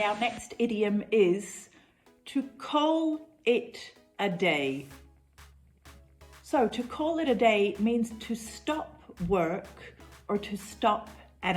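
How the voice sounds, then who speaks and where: New woman, middle-aged English teacher, unhurried and clear, mid-pitched voice. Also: big pitch swings, faint music behind. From New Zealand. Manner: clear, measured explanations with repeated examples. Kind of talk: online English lesson.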